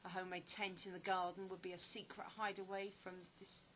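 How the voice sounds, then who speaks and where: Casting: actress playing reserved, wistful woman living alone, bright voice, audio drama, faint background noise. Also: mid-range voice, level tone, brisk, lightly acted. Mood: wistful, reminiscing. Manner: hesitant, reserved, reminiscing. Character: guarded, wistful woman living alone, grieving her father. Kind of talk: audio play dialogue.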